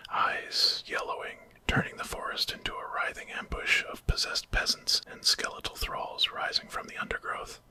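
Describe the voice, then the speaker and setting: A man narrating a horror story in a breathy, unvoiced ASMR whisper, reading dramatically. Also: bright, forceful tone.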